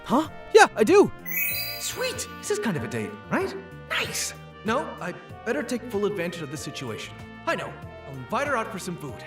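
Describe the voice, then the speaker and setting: Manga dub lead: man voices a shy, earnest high school geek with a lively pitch range, over light music. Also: moderate pace. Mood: eager, nervous. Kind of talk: manga dub narration and dialogue.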